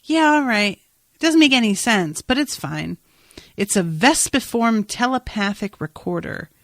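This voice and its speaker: A woman's voice: a little high, animated, unhurried, no echo. A woman podcast host talking through a TV episode in an informal, rambling way with exclamatory asides. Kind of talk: casual TV recap chat.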